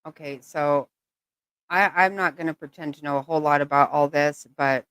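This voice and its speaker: Woman host, low voice, dry sound, profane exasperated commentator. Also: fairly even intonation, normal speed, fairly bright tone, smooth, no echo. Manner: blunt, swearing, exasperated. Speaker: channel host reacting to clips. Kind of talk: angry reaction commentary.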